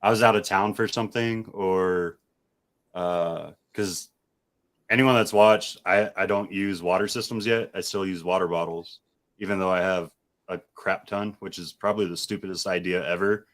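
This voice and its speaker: Laid-back low-voiced podcast host. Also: male voice, normal speed. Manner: relaxed, rambling, filler-heavy. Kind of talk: casual podcast chat.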